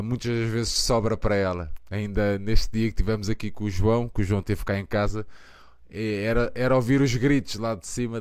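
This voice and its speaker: A man in his thirties with a European Portuguese accent hosts a late-night live fan stream. His voice is smooth and resonant, recorded dry and close. He talks with passion, rambles, and leans on fillers. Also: on the low side, a little mellow, no echo. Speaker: podcast host of a fan channel. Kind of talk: casual live chat.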